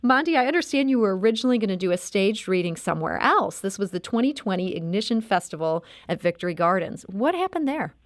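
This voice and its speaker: A woman's voice: medium pitch, very animated, fast talker, few pauses, no room sound. A woman hosting a public radio interview in a conversational style, introducing and questioning guests.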